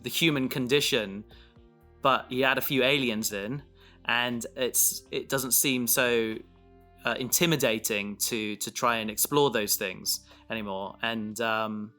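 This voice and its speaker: A man chatting casually and reflectively as a podcast creator, haltingly thinking aloud as he goes. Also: medium pitch, steady tone, bright, forceful tone, soft background music.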